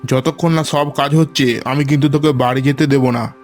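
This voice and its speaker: A man's voice: mid-range voice, fairly even intonation, a little mellow, soft background music, lightly acted. A man voicing a stern, bossy village grain merchant in a cartoon. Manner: commanding, brisk orders.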